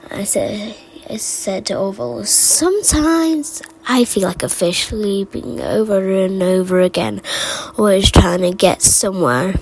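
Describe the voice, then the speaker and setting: A woman voicing a rambling, forgetful game storyteller, halting and digressive, stammering as the tale wanders. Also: mid-range voice, animated, a little mellow, poor audio. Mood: meandering, halting. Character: rambling, forgetful storyteller who muses about odd small memories. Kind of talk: fan recital of game character voice lines. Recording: amateur recording with clear background noise.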